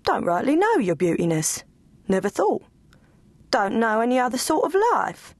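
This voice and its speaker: A woman voicing a humble, scruffy male working dog who talks in folksy, rough country grammar. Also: fairly high pitch, big pitch swings, moderate pace, no echo, pitched above the performer's own voice. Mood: earnest.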